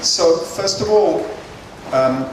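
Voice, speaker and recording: Older male speaker fielding audience questions, earnest and measured, slightly high voice, picked up from afar in a reverberant hall. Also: lively intonation. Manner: measured, earnest, with frequent fillers. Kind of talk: lecture-hall Q&A answers. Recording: echoey hall, distant mic, faint noise.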